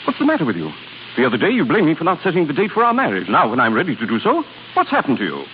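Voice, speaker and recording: A man's voice: fairly high pitch, huge pitch swings, rapid-fire, slight background noise. A man playing a conceited, possessive aristocratic suitor, pompous and effusive in 1930s radio style. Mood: indignant. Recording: muffled 1930s radio broadcast with music.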